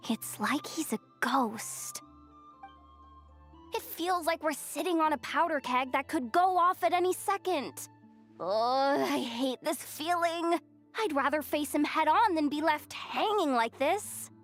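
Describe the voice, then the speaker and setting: A woman's voice: sits high, lively intonation, measured pace, faint music behind. A woman playing a brash, breezy young adventurer in a video game, talking in a casual, slangy way. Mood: frustrated.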